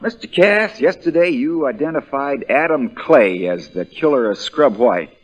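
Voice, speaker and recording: An actor playing a shrewd, folksy American country lawyer, relentless in questioning, in the style of 1940s radio drama. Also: male voice, mid-range voice, animated, measured pace, poor audio. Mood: dry, folksy. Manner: drawling folksy understatement that builds into hammering accusation.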